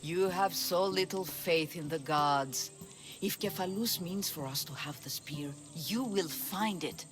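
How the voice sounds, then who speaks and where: An actress playing a devout, hopeful priestess in a video game, earnest and gently pleading. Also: female voice, fairly low pitch, a little slow, over music, close to an everyday voice. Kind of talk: video game cutscene acting.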